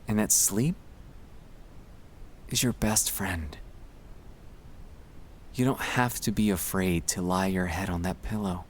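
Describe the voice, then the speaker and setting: A man narrating a sleep-aid ASMR monologue in a gentle, hushed, soothing and reassuring manner. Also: deep voice, steady tone, takes it slowly, long pauses, a little hiss or hum. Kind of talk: ASMR guided relaxation, scripted comfort monologue.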